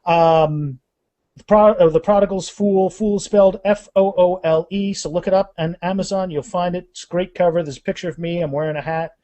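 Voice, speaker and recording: A man with a notably high voice talks casually as an author guest on a podcast. He is animated and jokes at his own expense. The recording is dry, with very little room sound. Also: fairly quick, dry recording. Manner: joking, self-mocking, animated.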